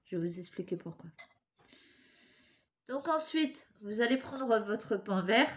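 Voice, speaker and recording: A woman's voice: a little high, expressive, a little slow. Chatty woman with a stuffy nose. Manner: chatty, apologetic, talks while working with her hands. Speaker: crafts tutorial host. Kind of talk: casual step-by-step craft tutorial.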